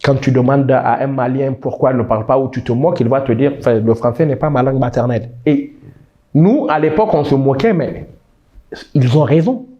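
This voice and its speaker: A man's voice: mid-range voice, brisk, dark tone, muffled recording. A man in his thirties from Niger, answering interview questions with emphatic, passionate talk, often restarting words. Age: 30s. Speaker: guest.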